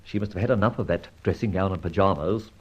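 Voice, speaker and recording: Husky, dashing masked radio adventurer. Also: male voice, deep voice, quick pace. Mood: brisk, wry. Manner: theatrical, clipped, declamatory. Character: dashing masked vigilante adventurer, sardonic and commanding. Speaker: lead actor in a radio detective serial. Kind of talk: old-time radio drama acting. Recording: dull vintage radio recording.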